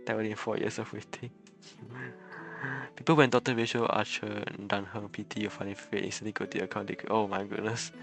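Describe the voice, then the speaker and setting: Low-voiced man chatting on a game stream. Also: little pitch movement, brisk, creaky, fairly bright tone, faint music behind. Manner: stammering, repeats words and phrases; chuckles often between remarks. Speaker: game livestreamer. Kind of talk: casual livestream chat with viewers.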